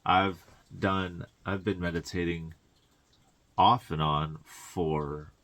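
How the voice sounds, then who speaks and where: A man speaking as a tarot reader and spiritual guide, in an informal coaching monologue with halting, reflective phrasing and colloquial asides. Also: sits low, steady tone, a bit bright.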